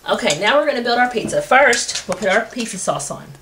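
A woman in her thirties hosting a cooking show, explaining each step in a plain, homey, unhurried way in a rustic wooden kitchen. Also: on the high side, animated. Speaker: home cooking show host. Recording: noisy home video with kitchen clatter.

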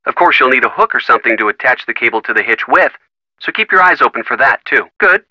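An actor playing an easygoing, teasing young American boyfriend in a video game, casual and wry. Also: male voice, medium pitch, lively intonation, quick pace, sharp tone, no room sound, rough recording. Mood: helpful, casual. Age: young adult.

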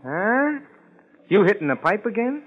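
Brash, high-voiced diner wise guy. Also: sing-song, unhurried, breathy edge, exaggerated voice. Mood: sarcastic. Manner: wisecracking, brash. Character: sarcastic, brash male lunch wagon cook. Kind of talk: 1950s radio acting. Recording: muffled, slightly noisy vintage radio.

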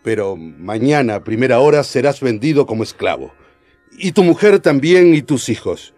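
A man's voice: mid-range voice, very animated, moderate pace, a little mellow, soft background music, natural voice. An actor playing an imperious but merciful king in a radio drama. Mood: stern.

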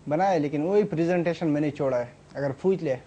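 Hesitant young man with a mellow voice. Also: on the high side. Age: young adult. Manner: hesitant, halting, soft-spoken replies. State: sore throat. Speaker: audition contestant and university student. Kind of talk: hesitant interview answers. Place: TV studio set, seated in a chair.

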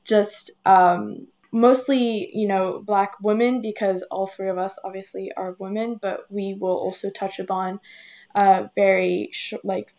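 A woman around twenty talks casually as a podcast co-host. Her voice sits at a middle pitch, and the recording is a little dull and lo-fi. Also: flat delivery, a little slow, a little mellow. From Hong Kong, French-speaking household. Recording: slightly dull, muffled microphone sound.

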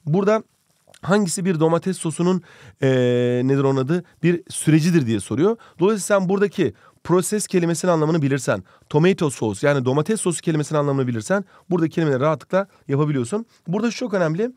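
Fast-talking, higher-voiced Turkish male teacher. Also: expressive, darkish tone, no room sound, clean studio sound. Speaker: English teacher. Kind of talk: scripted lesson with playful banter.

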